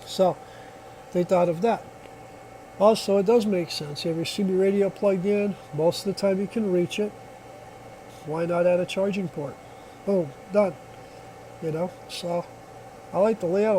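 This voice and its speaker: A man's voice: sits high, fairly even intonation, moderate pace, long pauses, warm tone, a little hiss or hum, rough recording. A man giving a casual hands-on product demonstration in a plainspoken, folksy, reassuring way, recorded on a phone.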